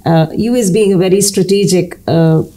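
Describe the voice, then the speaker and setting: A man working as a journalist, asking interview questions at a measured pace with fillers. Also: high-pitched, warm tone.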